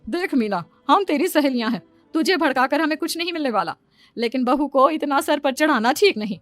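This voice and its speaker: A gossiping middle-aged neighbour from a cartoon drama, acted by a woman. She sounds very high-pitched, judgmental and meddling, forever warning and criticising. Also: animated, fairly quick, no room sound, soft background music. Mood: cautioning.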